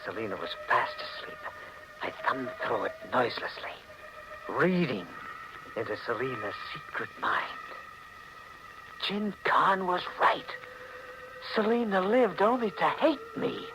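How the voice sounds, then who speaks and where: An actor playing a haunted, intense husband drawn toward murder, narrating a 1940s radio thriller in a dramatic, brooding, halting way. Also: male voice, a little high, expressive, low-quality recording. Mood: brooding, obsessed. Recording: old 1940s radio recording with music.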